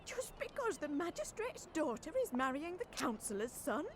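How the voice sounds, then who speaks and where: A woman's voice: sits high, very animated, normal speed, a little hiss or hum. An actress playing an emotional, doting middle-aged mother in a cartoon. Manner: fussy, emotional.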